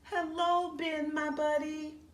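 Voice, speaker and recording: Woman playing a slow-spoken, kindly lady teacher. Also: high voice, level tone, pitched above the performer's own voice. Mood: gentle greeting. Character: kind, encouraging woman teacher comforting a young pupil. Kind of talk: children's storybook read-aloud. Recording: roomy echo, faint background noise.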